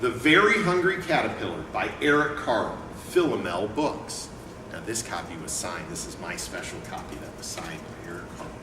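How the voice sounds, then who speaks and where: A man presenting a picture book read-aloud and talking informally as an educator, with an expressive delivery. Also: on the low side, normal speed. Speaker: educator and book company owner reading aloud. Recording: echoey room, distant mic, clear background noise.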